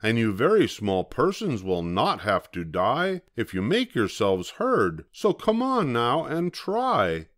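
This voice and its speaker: A man's voice: on the low side, huge pitch swings, slow pace, heavily acted. A man voicing a big, kind-hearted, earnest elephant in a children's storybook, pleading urgently in rhyme. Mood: urgent, encouraging. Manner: urgent, exclamatory, rhyming speech.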